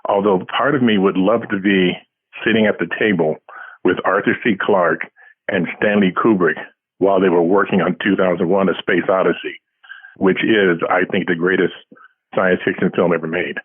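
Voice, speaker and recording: Husky older man on a phone line. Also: sits low, fairly even intonation, normal speed, no echo. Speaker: guest, science fiction writer. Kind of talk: casual interview answers. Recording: tinny, band-limited phone line.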